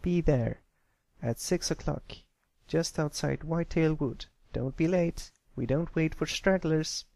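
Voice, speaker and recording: A man's voice: a little high, unhurried, gravelly, a little mellow, dry recording, pitched above the performer's own voice. A man voicing a brash, bossy, suspicious young filly pony in a curt, challenging way for a cartoon fan story. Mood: bossy, stern.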